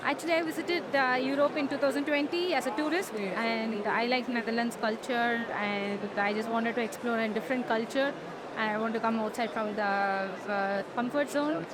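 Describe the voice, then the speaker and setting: A young woman with a slightly high voice, pausing often with hesitant uhs. Noise is clearly heard behind her. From India. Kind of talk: casual interview answers.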